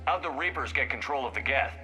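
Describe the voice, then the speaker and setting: An actor playing a resolute military commander in a video game, direct, terse and assertive. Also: male voice, mid-range voice, animated, quick pace, long reverb tail, music in the background, lightly acted. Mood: firm, questioning.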